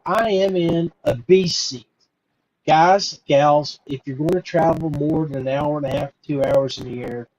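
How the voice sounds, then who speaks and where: A middle-aged or older man hosting a podcast, rambling casually to viewers in a halting, folksy way and repeating words. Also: on the high side, a little mellow, poor audio. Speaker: podcast host.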